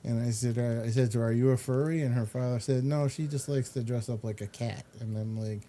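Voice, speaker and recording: A man hosting a talk show in casual chat, with drawn-out, emphatic repetition. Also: medium pitch, steady tone, mellow. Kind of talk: casual chat, commenting on pictures. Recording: clear background noise.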